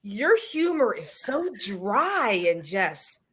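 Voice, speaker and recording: A woman with a Southern American accent chatting casually on a live show, animated and quick to laugh. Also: mid-range voice, husky.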